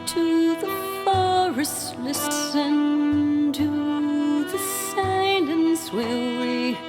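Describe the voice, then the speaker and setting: A woman singing in a slow, lullaby-like style. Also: sits high. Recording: music behind the voice.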